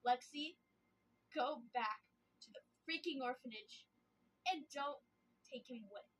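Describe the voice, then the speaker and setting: A woman's voice: sits high, a little slow, rough recording. A woman voicing a sneering cruel male villain. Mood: contemptuous. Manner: shouting, sneering insults.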